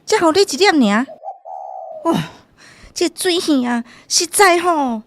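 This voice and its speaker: An actress playing a protective, sharp-tongued rural aunt who scolds and demands fairness in a radio drama. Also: female voice, very high-pitched, expressive, slow pace, slight background noise, exaggerated voice. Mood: indignant. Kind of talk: Taiwanese radio drama acting.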